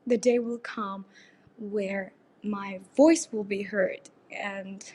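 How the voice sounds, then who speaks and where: A teenage girl speaks in a breathy, earnest voicemail. She talks haltingly, with soft pauses between thoughts, and her pitch sits in the middle of the range. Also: steady tone. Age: teens. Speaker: caller leaving a voicemail. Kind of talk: personal testimony.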